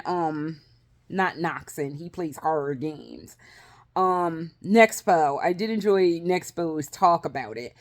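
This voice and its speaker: A woman vlogging in a casual, rambling way, swearing freely, laughing often and restarting her sentences. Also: mid-range voice. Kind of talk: casual rambling vlog chat.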